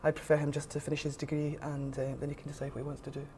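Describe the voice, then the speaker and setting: Middle-aged woman, west of Scotland accent, deep relaxed voice, frank and opinionated, interview answers, faint noise behind. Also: rapid-fire. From west coast of Scotland. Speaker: interviewee, rugby official. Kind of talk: informal interview conversation.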